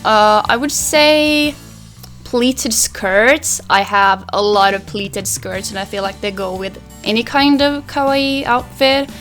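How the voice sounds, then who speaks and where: A woman's voice: a little high, slow, fairly bright tone, soft background music. A young woman from Finland, a native Swedish speaker, chatting casually through a makeup tutorial while answering viewer questions in a small bedroom. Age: young adult. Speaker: beauty vlogger. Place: small pastel bedroom, indoors.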